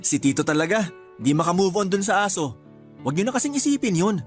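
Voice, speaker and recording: A man's voice: high voice, big pitch swings, moderate pace, faint music behind. A Filipino man playing a young nephew in a radio drama, dismissive and exasperated while acting brave. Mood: teasing, casual.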